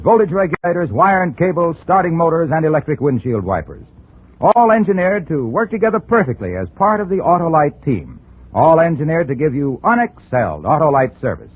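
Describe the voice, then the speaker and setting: A man's voice: on the high side, husky. An American man reading sponsor copy and credits as a 1950s radio announcer, emphatic and polished. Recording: tinny band-limited old radio with faint music.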